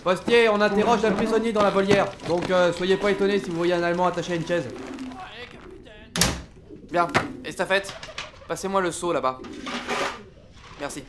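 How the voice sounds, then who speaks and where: High-voiced, bossy army captain, acted by a man. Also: fairly even intonation, normal speed, pauses often, heavy background noise, lightly acted. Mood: exasperated, brisk. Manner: exasperated, barking orders, swearing. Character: harried, irritable, bossy French army captain in the trenches. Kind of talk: comedic war audio drama acting.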